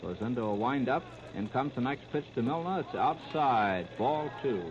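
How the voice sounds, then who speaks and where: A man's voice: mid-range voice, expressive, moderate pace. A man calling baseball play-by-play for 1930s radio, narrating in clipped, present-tense phrases. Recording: scratchy, noisy, muffled 1930s radio recording.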